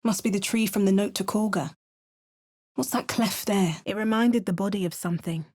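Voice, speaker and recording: A woman's voice: mid-range voice, normal speed, a little mellow, lightly acted. A woman voicing a self-assured young adventurer who delivers game voice lines with dry, wry asides. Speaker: player character adventurer.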